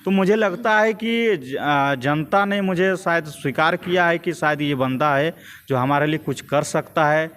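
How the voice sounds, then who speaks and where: A young man with a Jharkhand Hindi accent answering as a political activist in an interview, in a measured, hesitant way with repeated phrases. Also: on the high side, a bit bright. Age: young adult.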